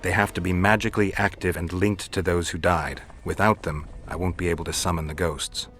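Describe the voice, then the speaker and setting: An actor playing a gruff, sardonic monster hunter in a video game, terse and deadpan, with a gravelly voice. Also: male voice, sits low, brisk, faint music behind. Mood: businesslike. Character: gruff, sardonic monster-hunting warrior for hire. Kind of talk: video game dialogue acting.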